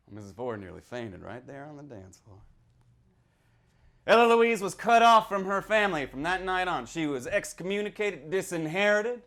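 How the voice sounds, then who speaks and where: A man playing a folksy American small-town storyteller, telling a dark witch legend in a theatrical, conspiratorial way with questioning tags. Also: fairly high pitch, exaggerated voice. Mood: hushed, eerie, then grave, emphatic. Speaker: author reading from a novel. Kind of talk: theatrical in-character reading of horror fiction.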